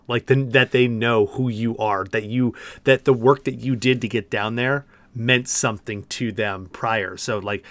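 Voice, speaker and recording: A man's voice: fairly low pitch, fairly bright tone, dry recording. A man hosting a podcast, chatting informally and conversationally about video games. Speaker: podcast host. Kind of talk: casual video game discussion.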